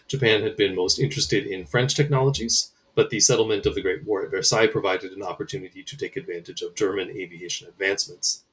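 A man's voice: deep voice, a bit bright, rough recording. A man hosting a podcast interview, reading a prepared book summary with occasional fillers. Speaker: podcast host.

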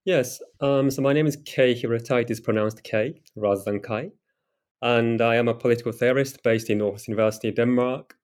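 A middle-aged man from Japan, a political theorist answering interview questions in a careful, measured way. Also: medium pitch, steady tone, a little mellow. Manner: careful, measured explanation with occasional fillers.

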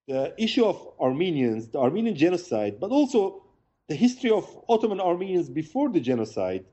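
Middle-aged male guest, warm and soft-edged tone, careful and halting delivery, remote call. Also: a little high, highs cut off. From Lebanon. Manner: measured, pausing often to find words. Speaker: author and professor, interview guest. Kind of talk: remote interview. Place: home in a mountain village.

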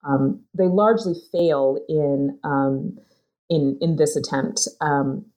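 A woman's voice: deep voice, takes it slowly, warm tone, rough recording. A woman author discussing her research in a thoughtful, hesitant way, with many fillers and restarts. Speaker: author being interviewed. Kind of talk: academic conversation.

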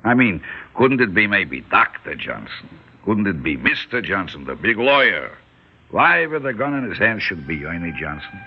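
An actor playing a calm, stubborn older shopkeeper who reasons in wry questions and Yiddish-inflected phrasing, in old-time radio style. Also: male voice, low-pitched, normal speed, breathy edge, full character voice. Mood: gently probing. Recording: muffled old radio recording.

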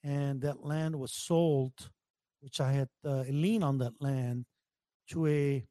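A man chatting casually, telling stories haltingly and repeating words for emphasis. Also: medium pitch, steady tone, dark tone, dry recording, clean studio sound. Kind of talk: casual storytelling chat.

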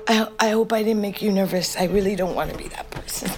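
A woman's voice: mid-range voice, steady tone, quick pace, over music, lightly acted. An actress playing a foul-mouthed, middle-aged ex-convict, telling her story in a confiding, conversational way. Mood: tearful, apologetic. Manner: streetwise, blunt, confiding. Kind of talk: scripted first-person narration, conversational.

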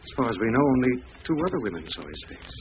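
An actor playing a brooding, deliberate amateur detective piecing clues together in an old-time radio drama. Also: male voice, medium pitch, sing-song. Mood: grave deduction. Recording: thin, phone-like, noisy vintage radio recording.

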